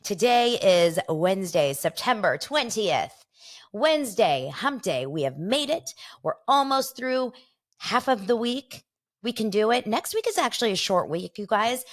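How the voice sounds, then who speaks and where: A woman's voice: medium pitch, expressive, unhurried. A woman in her forties with an American accent, hosting a casual solo gossip show in a chatty, jokey, informal way, talking straight to her listeners. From Los Angeles, United States. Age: 40s. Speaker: podcast host.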